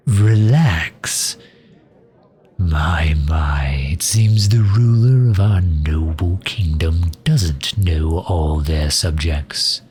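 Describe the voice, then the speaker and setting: Casting: male actor as a loyal fantasy mage advisor, extremely low voice, slow and dryly cutting, for roleplay audio. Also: darkish tone, husky, full character voice. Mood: haughty, sardonic. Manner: dry, sardonic, drawn-out delivery with sighs. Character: loyal, sharp-tongued male mage advisor to a demon ruler. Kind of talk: ASMR roleplay audio drama.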